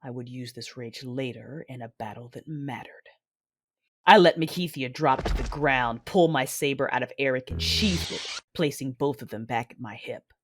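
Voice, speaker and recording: An actress playing a vengeful female Sith lord, narrating her own story in an audio drama with intense, deliberate delivery. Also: very deep voice, slight background noise. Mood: controlled fury. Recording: music behind the voice.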